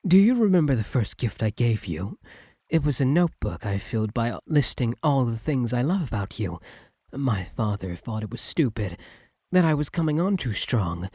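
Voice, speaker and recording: A man voicing a doting, possessive fox-spirit husband in an intimate ASMR roleplay, soft and breathy, often slipping into whispers, tender and clingy. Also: medium pitch, no room sound. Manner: tender, clingy, hesitant, lingering on words.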